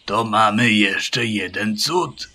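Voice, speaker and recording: A man's voice: lowish voice, takes it slowly, sharp tone, slight background noise, heavily acted. A man voicing a skeptical, pompous male barnyard bird in a children's audio play, dry and fussy in manner.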